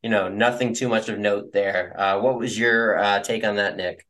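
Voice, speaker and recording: A man's voice: lowish voice, steady tone, normal speed, fairly bright tone. Young man recapping with many fillers. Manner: rambling, many fillers and hedges. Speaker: podcast co-host. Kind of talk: casual recap chat.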